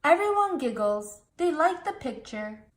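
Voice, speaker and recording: Clear storybook-reading woman, fairly high voice. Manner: slow, clear and expressive. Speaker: storybook reader. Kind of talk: reading a children's storybook aloud.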